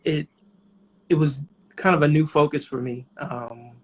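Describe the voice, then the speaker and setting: A man in his thirties, a jazz trumpeter, talking as a guest in a casual interview in a relaxed, reflective way with many pauses. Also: on the high side, a little hiss or hum. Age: 30s.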